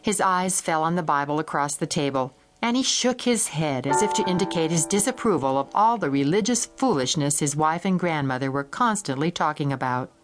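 A woman's voice: low-pitched, lively intonation, fairly quick, hardly any pauses. A woman narrating a dramatized children's story in a scripted storytelling style. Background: music.

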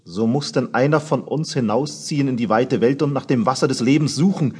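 A man playing a gloomy, earnest young prince with a husky voice in a fairy-tale radio play. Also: mid-range voice, a little fast, darkish tone, natural voice.

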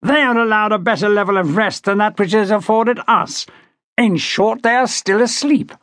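A man's voice: high voice, sing-song, brisk, a bit bright, no echo, pitched above the performer's own voice, full character voice. A man voicing an angry, contemptuous naval lieutenant in an audiobook, with a harsh, dry-throated growl. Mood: sneering.

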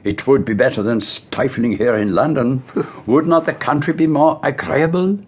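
An actor playing a precise, patient, fussy detective with a French-speaking Belgian accent in a radio drama, husky and formal in manner. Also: male voice, mid-range voice, lightly acted. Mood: decisive, cheerful. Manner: precise, measured, formal, gently teasing.

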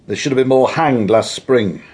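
Man playing a husky, menacing Tudor official. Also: medium pitch, moderate pace, darkish tone, close to an everyday voice. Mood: brusque, commanding. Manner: brusque, commanding. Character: overbearing, menacing Tudor official, impatient and contemptuous. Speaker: senior official. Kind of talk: full-cast radio drama.

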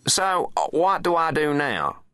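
A man's voice: on the high side, sing-song, a little slow, bright, forceful tone, smooth, dry recording, clean studio sound, pitched above the performer's own voice, full character voice. A man voicing a petulant, exasperated American president in a satirical audiobook, sarcastic and whiny, with emphatic swearing. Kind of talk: satirical audiobook character acting.

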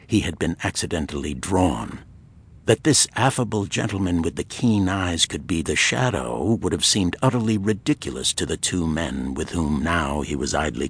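A man with a low-pitched voice narrates a pulp mystery audiobook. His tone has a husky, slightly rough edge, and he delivers the prose as scripted storytelling.

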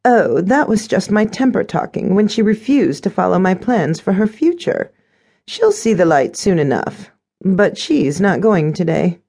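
A woman's voice: mid-range voice, big pitch swings, measured pace, mellow, husky, voice pushed up, exaggerated voice. A woman narrator voicing a smug, conniving stepmother in an audiobook, sugary and condescending. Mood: breezily smug.

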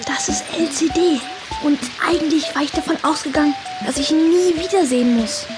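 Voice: high-pitched, brisk, over music, close to an everyday voice. A performer playing an indignant, gossipy schoolchild in a children's audio drama, emphatic and scornful.

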